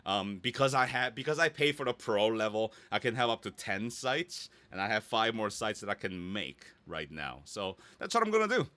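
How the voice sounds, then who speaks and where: Guy walking people through a screen tutorial, bright voice, super dry sound, chatty n a bit goofy. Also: lowish voice, big pitch swings, normal speed, few pauses, dry recording. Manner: chatty, playful sound effects, checks in with "right?". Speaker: instructor, presenter. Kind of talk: casual live screen-share tutorial.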